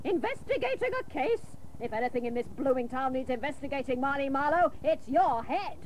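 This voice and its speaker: An actress playing a bossy, exasperated aunt who scolds and insults theatrically in a comic radio drama. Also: female voice, high voice, expressive, brisk, darkish tone. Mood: scornful, exasperated. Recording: noisy old cassette of radio broadcast.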